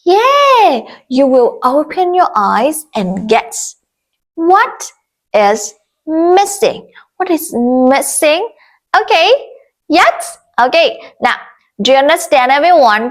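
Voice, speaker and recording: A young Vietnamese woman teaching English to children, speaking slowly with exaggerated repetition of each phrase. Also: high voice. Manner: slow, exaggerated, repeats every phrase for young learners.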